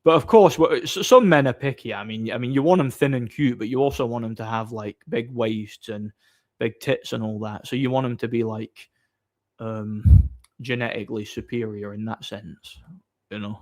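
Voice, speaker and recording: A man's voice: mid-range voice, moderate pace, a little mellow. A man, chatting casually on a livestream, reading out viewer messages and answering with mocking boasts. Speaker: live streamer reading chat.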